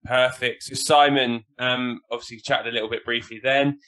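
A man's voice: mid-range voice, steady tone, normal speed, fairly bright tone, faint music behind. A man hosting a sports podcast, reading the intro and asking casual, hesitant questions. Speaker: podcast host.

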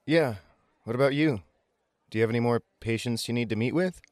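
A man's voice: medium pitch, brisk, a little mellow, lightly acted. A man playing an easygoing, polite survivor of a zombie apocalypse in an audio drama, narrating and speaking as the lead. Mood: friendly, casual.

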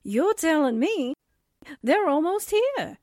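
An actress playing a jittery, wisecracking newspaperwoman sidekick in a 1940s radio drama, sassy and drawn-out. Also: extremely high pitch, very animated, a little mellow, heavily acted. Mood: alarmed.

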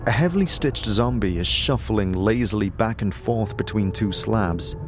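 A man narrating a scripted audio drama in the second person, with measured, descriptive storytelling. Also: lowish voice. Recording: music under the voice.

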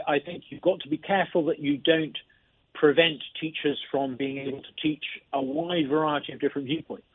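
Male guest, husky, slightly higher voice, tinny phone line, measured with frequent restarts. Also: dry recording. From not from Mississippi. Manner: measured, repeats words when starting sentences. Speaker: interview guest, state lawmaker. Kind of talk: phone interview.

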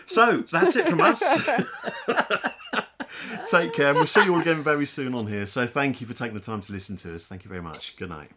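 A middle-aged male host with a lively, up-and-down voice, gushing with praise in a casual chat. A loud crowd roars behind him. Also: fairly high pitch, normal speed. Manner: effusive and lively, heaping on praise.

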